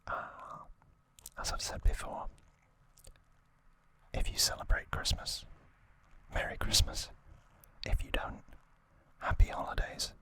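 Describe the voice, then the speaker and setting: A male ASMR host speaks in a crisp, hissy whisper that is almost fully unvoiced. His delivery is gentle and slow, broken by pauses. The breathy sound is strikingly bright as he addresses his viewers.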